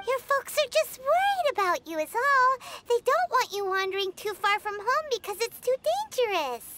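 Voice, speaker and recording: A woman voicing a tiny, fussy, chatty fairy-like companion in a game cutscene, with a squeaky childlike voice, and she refers to herself in the third person. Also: lively intonation, normal speed, very noisy. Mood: coaxing. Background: loud game score music.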